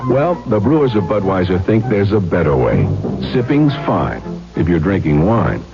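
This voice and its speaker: Very deep, animated male ad announcer. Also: moderate pace. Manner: conversational, instructive. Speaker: commercial announcer. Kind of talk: folksy 1970s radio ad read. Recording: muffled 1970s radio ad. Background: loud music.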